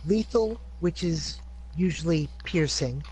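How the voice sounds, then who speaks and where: A man's voice: fairly high pitch, takes it slowly, a little mellow, a little hiss or hum, low-quality recording. A man playing a tabletop game, chiming in with short rule explanations in casual talk. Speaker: player.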